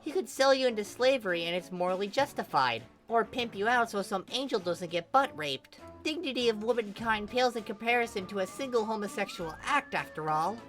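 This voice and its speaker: A woman's voice: medium pitch, animated, fairly quick, hardly any pauses, fairly bright tone, faint music behind, pitched above the performer's own voice, lightly acted. A woman voicing a sweet, naively pious young woman, prim and cheerfully preachy. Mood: earnest.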